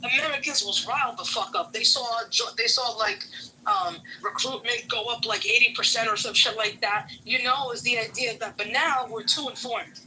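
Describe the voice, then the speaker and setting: A woman's voice: a little high, normal speed, pushed and bright, a little hiss or hum, low-quality recording. A woman from New York who works as an immigration law clerk, talking bluntly and profanely in a casual voice-chat room, firing off rhetorical questions. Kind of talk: heated casual chat on a voice-chat app. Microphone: phone mic on a voice-chat app.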